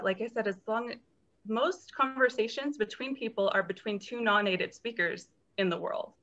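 A woman answers questions with a bright, forward tone, steady and sympathetic. Her voice comes through dull, lo-fi video call audio. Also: mid-range voice. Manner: sympathetic, measured. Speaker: guest presenter answering questions.

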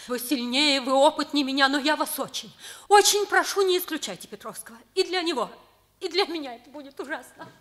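An earnest, compassionate schoolteacher in a Soviet radio drama. Her voice sits a little high and she speaks imploringly, full of feeling. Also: expressive, a little fast, fairly bright tone. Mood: pleading. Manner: imploring, emotional. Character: earnest, compassionate female schoolteacher pleading for a pupil. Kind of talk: mid-century Soviet radio play acting.

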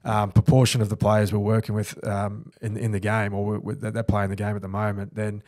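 Low-voiced Australian man in studio. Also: monotone, fairly quick, a little mellow, no echo. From New Zealand. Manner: earnest, reflective, many restarts. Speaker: former rugby league player. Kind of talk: podcast interview. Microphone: studio mic on boom arm.

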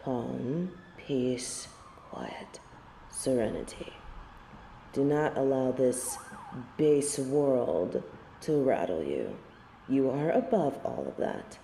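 Low, slow, haughty angel woman acting. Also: lively intonation, creaky, mellow, echoey room, a little hiss or hum, full character voice. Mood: self-calming. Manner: snobbish, scornful, formal and archaic phrasing. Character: haughty, disdainful female angel judging human souls. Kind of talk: scripted audio roleplay monologue.

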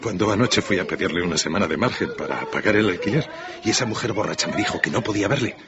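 An actor playing a weary but hopeful husband in debt in a radio drama, earnest and reassuring. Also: male voice, fairly low pitch, animated, fast talker. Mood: relieved. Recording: muffled, with clear ambient noise.

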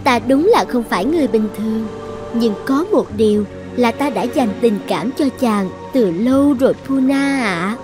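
Woman as a possessive, high-voiced sorceress princess. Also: lively intonation, normal speed, over music, lightly acted. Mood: coaxing, lovestruck. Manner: commanding, coaxing, menacing. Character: possessive, lovesick, threatening sorceress dragon princess. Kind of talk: dubbed cartoon fairy-tale acting.

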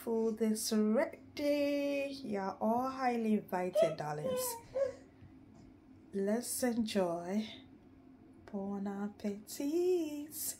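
A woman from Ghana, gently narrating a home cooking video step by step and blessing her viewers. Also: fairly high pitch. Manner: gentle, unhurried, affectionate sign-off with blessings. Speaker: cooking vlogger. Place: home kitchen at the stove. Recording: sizzling frying noise behind the voice.

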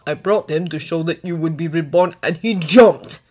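A woman's voice: deep voice, normal speed, darkish tone. A woman voicing a giant male dragon in an audio story, proud and emotional, with grand formal speech. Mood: dry, disapproving.

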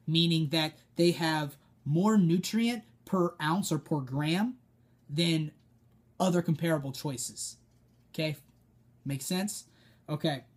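A man's voice: high voice, a little mellow, slightly rough. A young American man giving informal, emphatic coaching as a personal trainer, direct and instructive. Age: young adult. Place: small dim room indoors, seated at a desk.

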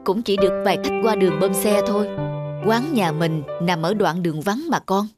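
A man's voice: high voice, moderate pace, music in the background, lightly acted. Man playing an earnest, honest cartoon father. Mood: kind. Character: hard-working poor father, kind, honest and firm. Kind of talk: cartoon dubbing.

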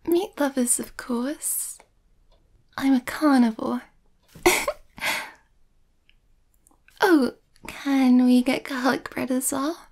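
Shy young werewolf girlfriend played by a woman for ASMR roleplay: high, mellow, hesitant and often half-whispered. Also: steady tone, very slow, long pauses, full character voice. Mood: happy, bashful.

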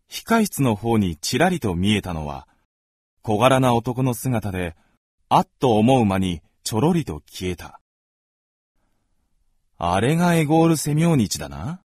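A man playing a gentlemanly visitor who narrates his recollections in a literary radio drama. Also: medium pitch, husky, no echo, clean studio sound, full character voice.

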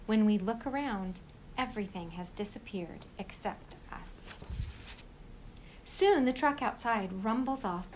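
A woman reading a children's picture book aloud in an expressive storytelling style. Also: mid-range voice, slight background noise, rough recording.

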